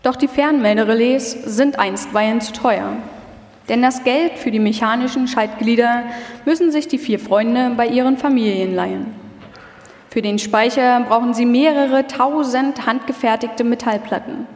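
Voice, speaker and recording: A woman's voice: a little high, normal speed, darkish tone. A woman reading scripted documentary narration as the narrator of a live radio feature. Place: live stage performance in a hall. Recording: echoey hall, long reverb.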